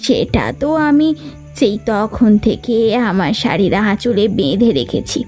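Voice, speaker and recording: A woman's voice: medium pitch, very animated, unhurried, darkish tone, faint music behind. A woman voicing a poor, homely and hopeful village mother in a cartoon. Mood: confiding, hopeful. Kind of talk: Bengali cartoon voice acting.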